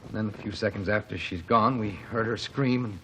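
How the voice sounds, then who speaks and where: A man voicing a plain-spoken working-class stagehand giving testimony in a vintage radio drama. He speaks in a somewhat low voice, folksy and unpolished. A faint hiss sits under the recording. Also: fairly quick, fairly bright tone, lightly acted, poor audio. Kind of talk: 1940s radio drama acting.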